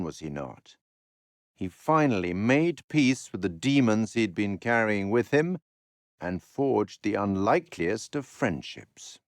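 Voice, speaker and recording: An actor playing an urbane, sardonic male curator in a video game, narrating others' fates with formal, wry, theatrical delivery and dramatic pauses. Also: on the low side, no echo, clean studio sound. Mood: reflective, wry.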